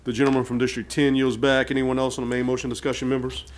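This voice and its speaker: A man's voice: mid-range voice, level tone, fast. A man chairing a council meeting in formal parliamentary procedure, speaking into a meeting microphone. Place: public meeting room.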